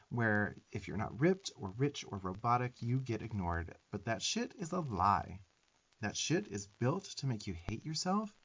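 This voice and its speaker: A man's voice: fairly low pitch, dull audio. A man voicing a sarcastic, bossy but affectionate little demon twink in a profane, emphatic ASMR roleplay. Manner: sassy, scolding, profane, emphatic.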